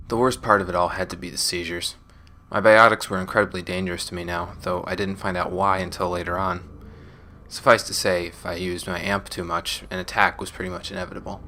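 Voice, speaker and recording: A deep, subdued voice from a man acting as a weary former gang member and recovering addict. The delivery is measured and reflective, like a private confession in a sci-fi audio drama. Music plays clearly underneath. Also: close to an everyday voice. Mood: numb, reflective.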